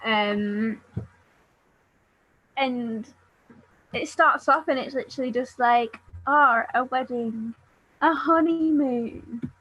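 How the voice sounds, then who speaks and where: A woman chatting casually about books in a halting, giggly way. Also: on the high side, a bit bright, no room sound, low-quality recording. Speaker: book readalong participant. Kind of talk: casual book discussion chat.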